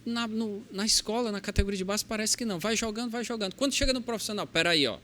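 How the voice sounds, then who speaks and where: A man's voice: high-pitched, fast, slight background noise. A man with a Brazilian accent from Ceará hosting a podcast, enthusiastic and affirming as he asks questions and signs off. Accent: Brazilian Portuguese, Ceará. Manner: enthusiastic, affirming, animated sign-off. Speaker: podcast host. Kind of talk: podcast interview.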